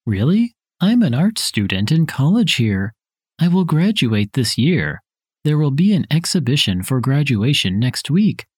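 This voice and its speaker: A man reading his parts in a scripted dialogue for a language listening test, with careful, even delivery. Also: medium pitch, unhurried, husky, no room sound, clean studio sound.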